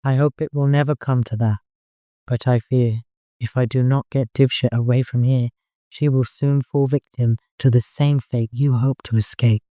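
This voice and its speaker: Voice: mid-range voice, fairly even intonation, moderate pace, mellow, no echo, studio quality, close to an everyday voice. A narrator voicing a wistful, worldly woman in an audiobook, speaking earnestly and with emotion. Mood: grateful, fearful.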